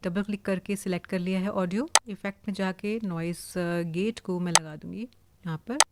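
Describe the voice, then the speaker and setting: A woman's voice: lowish voice, fairly even intonation, moderate pace. Indian lady explaining an editing tutorial, casual, english words mixed in, really hissy audio. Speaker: voice-over artist giving a tutorial. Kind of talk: informal screen-recorded software tutorial. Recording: clearly hissy home recording.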